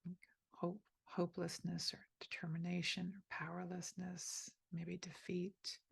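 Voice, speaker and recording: A woman leading a guided meditation, speaking softly and gently inviting the listener, with pauses between phrases. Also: on the low side, completely flat delivery, vocal fry, breathy edge, no echo. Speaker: meditation teacher.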